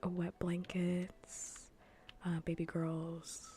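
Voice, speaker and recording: A woman hosting a livestream, chatting casually in a soft, breathy, whispery ASMR voice and rambling with dry humour. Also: on the low side, monotone, very slow, soft background music. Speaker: livestream host. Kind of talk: casual ASMR stream chat.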